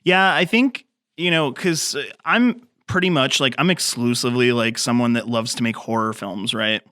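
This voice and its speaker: Rambling thirty-something man, smooth voice. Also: mid-range voice, moderate pace, sharp tone, dry recording, studio quality. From grew up in the mountains. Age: 30s. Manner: rambling, lots of fillers and restarts. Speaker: filmmaker guest. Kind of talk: casual podcast interview.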